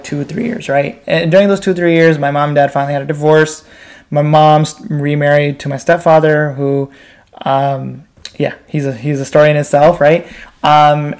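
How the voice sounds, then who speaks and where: Higher-voiced young American man. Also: darkish tone. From United States, Pakistan, Bangladesh and Qatar. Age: 20s. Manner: informal, uses frequent "like" and "right?" tags. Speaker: interview guest. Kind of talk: candid personal storytelling.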